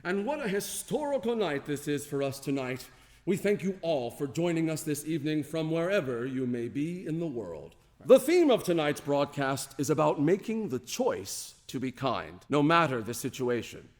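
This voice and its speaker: A man hosts a radio variety show with showy, theatrical flair. His higher-pitched voice swings widely, drawing out announcements, and rings through a long hall reverb. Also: big pitch swings. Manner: showy, jokey, drawn-out announcing.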